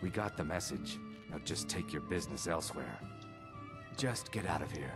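Resentful merchant, extremely low and gruff, game dialogue with clear music. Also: male voice, animated, moderate pace. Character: gruff, resentful male shopkeeper. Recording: game audio with music behind.

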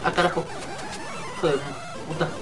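A man with a Mexican accent, slightly sick, giving casual, rambling, hesitant gameplay commentary with some mild swearing. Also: moderate pace. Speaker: let's play gamer. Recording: game music behind the voice. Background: video game music.

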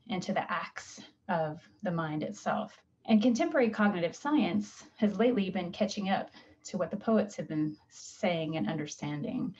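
A woman professor explains her research in a calm, measured way, pausing with soft fillers. Her voice sits low and mellow. The sound is dull and muffled, like a home webcam. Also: a little hiss or hum. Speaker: English professor. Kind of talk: faculty self-introduction. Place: home room with paintings and map. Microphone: video call webcam.

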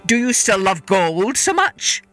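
A woman voicing a solemn, stately male god from a myth, speaking in measured phrases. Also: mid-range voice, very animated, a bit bright, faint music behind. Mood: grave.

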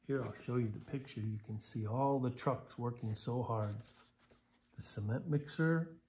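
A man's voice: medium pitch, unhurried, darkish tone. A middle-aged American man reading a picture book aloud, expressively, with simple explanations for young children. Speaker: volunteer story reader. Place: small living room, indoors on a sofa.

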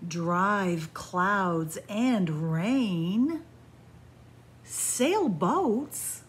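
A middle-aged woman librarian reading a picture book aloud to young children in a library, in a sing-song way with soft hushed asides. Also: mid-range voice, lively intonation, extremely slow, a little hiss or hum. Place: library with bookshelves, indoors.

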